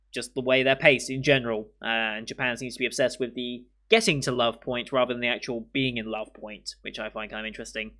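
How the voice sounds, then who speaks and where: A man with a worn, hoarse voice talks loosely to his viewers, rambling as he thinks aloud. His tone stays bright and forceful despite the strain. He speaks into a mic in his room at home. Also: mid-range voice. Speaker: anime reaction channel host. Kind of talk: casual to-camera commentary. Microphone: studio mic in frame.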